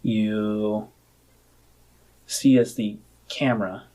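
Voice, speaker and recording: A man narrating a tabletop role-playing game as game master, deliberately pausing between short phrases. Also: lowish voice, level tone, warm tone.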